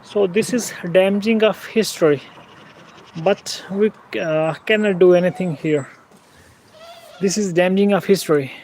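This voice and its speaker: A man narrating a casual travel vlog outdoors in a small village, speaking halting, plain English with pauses. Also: sits high, darkish tone, poor audio. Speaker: travel vlogger. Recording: faint outdoor noise.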